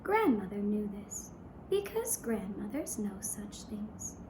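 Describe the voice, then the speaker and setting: A woman narrating a fairy tale for a puppet show in a gentle, hushed, deliberate storytelling manner. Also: medium pitch, very animated, reverberant, background noise. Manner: slow, hushed, deliberate storytelling in short phrases.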